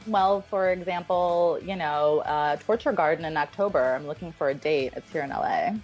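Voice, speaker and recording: A woman's voice: deep voice, moderate pace, a little mellow, faint music behind. A woman from Russia, a dating-show contestant on a video call from home, talking in an easygoing, frank way. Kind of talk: casual chat. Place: home in a city.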